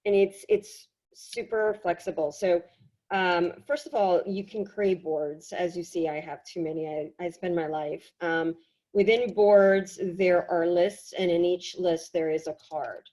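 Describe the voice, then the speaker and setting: A woman giving a casual software tutorial, explaining in a halting, conversational way, slightly hoarse from a cold. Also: on the low side, steady tone. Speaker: workshop presenter. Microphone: video call.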